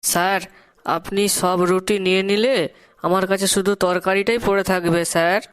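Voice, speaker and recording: A man voicing a dim, earnest young schoolboy in a Bengali cartoon, in a high squeaky voice with a Bengali accent. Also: fairly even intonation, normal speed, a bit bright, smooth. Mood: eager. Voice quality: high squeaky put-on child voice.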